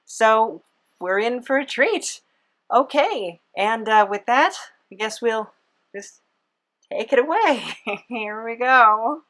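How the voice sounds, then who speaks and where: A woman hosting a podcast in casual, playful banter with a guest, halting and giggly. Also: on the high side, animated, a bit bright. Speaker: podcast host.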